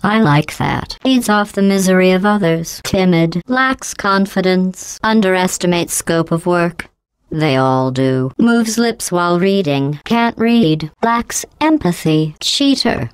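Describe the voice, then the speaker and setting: A cold, sarcastic female AI from a video game, sneering at her test subjects in a clipped deadpan. Her voice sits low and sounds smooth and polished. It is recorded close and dry, with no room sound. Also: slow, dry recording. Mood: snide reading. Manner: mocking, dry insults in flat delivery.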